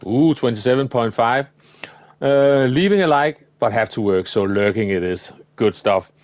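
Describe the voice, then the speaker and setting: A man's voice: mid-range voice, moderate pace. A man with a Danish accent, live-streaming a racing game and chatting to viewers while he drives. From Denmark. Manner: relaxed, thinking aloud while driving. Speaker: livestreamer. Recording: loud game engine noise behind. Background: racing car engine sounds.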